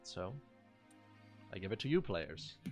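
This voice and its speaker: A man speaking as game master in a casual tabletop game, narrating haltingly with drawn-out pauses. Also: deep voice, big pitch swings, darkish tone. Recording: music playing behind the voice.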